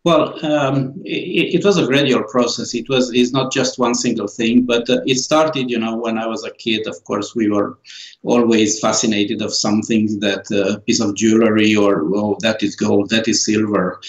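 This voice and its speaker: A man in his forties from Romania with a Romanian accent, answering interview questions slowly with many pauses and fillers. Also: on the low side, fairly even intonation, echoey room, lo-fi sound. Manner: slow, halting, many fillers and restarts. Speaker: author and guest.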